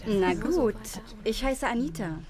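An actress plays a cheeky, flirty baker in a radio play. Her delivery is teasing and giggly, with a very lively melody and slow, drawn-out pacing. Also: a little high, a crowd in the background. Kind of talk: audio drama acting.

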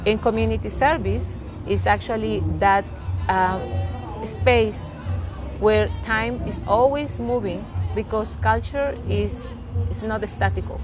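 A woman's voice: medium pitch, unhurried, music in the background. A middle-aged woman from Honduras with a Spanish accent, answering interview questions in a small studio in a thoughtful, reflective way. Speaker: guest singer and cultural promoter.

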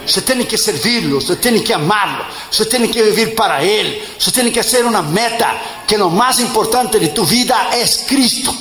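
A man in his fifties, an evangelist preaching a sermon, tired, emphatic, mixing jokes with loud rising exhortation. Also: high voice, lively intonation, normal speed, hardly any pauses, faint music behind. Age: 50s. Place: church in front of a congregation. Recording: echoey church with crowd and noise.

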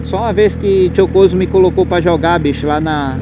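Recife-accented male moto vlogger, slightly high voice, loose slangy chatter, helmet mic, heavy wind and traffic noise. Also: brisk. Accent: Recife Pernambuco Portuguese. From Recife, Northeast Brazil. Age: adult, has a nephew. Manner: chatty, slangy, swears casually, rambling. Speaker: motorcycle vlogger. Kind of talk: casual chat while riding. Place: on a motorcycle on suburban roads outdoors. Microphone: helmet headset mic. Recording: loud wind and road noise from riding. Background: loud wind and traffic noise.

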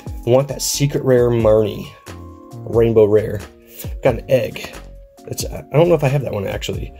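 A man's voice: mid-range voice, normal speed, creaky, dark tone, soft background music. Congested young man hosting a card vlog. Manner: rambling and upbeat, with frequent ums and uhs. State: congested with a head cold. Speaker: card collecting channel host. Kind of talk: casual pack-opening vlog. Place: indoor room at a desk.

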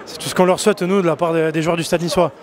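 A man's voice: a little high, fairly even intonation, very fast, very noisy. A man in his thirties chatting as a sports player in a casual interview. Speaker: rugby player. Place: outside a stadium. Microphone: handheld club microphone.

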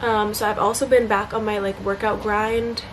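A woman's voice: medium pitch, level tone, normal speed. Young female vlogger, chatty and upbeat, on a camera in her bedroom, noticeable background noise. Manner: bubbly, chatty, many fillers. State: congested. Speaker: vlogger and college student. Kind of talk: casual vlog chat to camera. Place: small bedroom indoors. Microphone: camera at arm's length.

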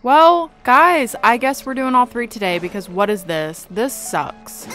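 A woman's voice: a little high, measured pace, a bit bright. Casual, grumbling woman gamer. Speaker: gaming video host. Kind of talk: casual gameplay commentary. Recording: music in the background.

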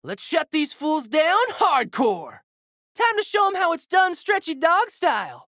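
A brash, big-hearted teenage boy hero delivers video game battle lines. His voice is high and swings wildly in pitch. He is slangy, emphatic and goofy, in a dry, close studio sound. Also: very animated, unhurried, pushed and bright, no room sound, heavily acted. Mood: pumped up.

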